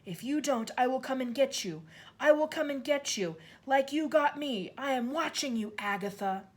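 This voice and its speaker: Storybook ghost: a slow, drawn-out, menacing male spirit with a swooping voice, put on by a woman. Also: high-pitched, animated, pitched above the performer's own voice. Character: ghostly man's voice, slow and threatening.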